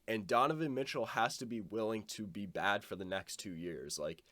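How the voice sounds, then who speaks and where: A man's voice: lowish voice, a bit bright, no room sound. Stuffed-up young man, blunt sports take. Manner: loose, blunt, swears casually. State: stuffed-up nose from a cold. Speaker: basketball commentator. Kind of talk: casual sports opinion monologue.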